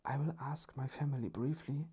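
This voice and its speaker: A storyteller voicing a friendly, considerate male family member in a breathy ASMR storybook whisper. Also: medium pitch, normal speed, mellow, voice pushed up. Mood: eager.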